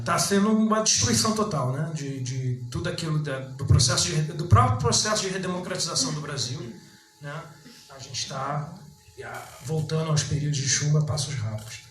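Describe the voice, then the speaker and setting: A man speaking as a panelist at a public debate, halting and full of restarts and tag questions. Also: fairly high pitch, fairly bright tone. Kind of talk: panel discussion remarks. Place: cultural center event room. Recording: echoey room with faint noise.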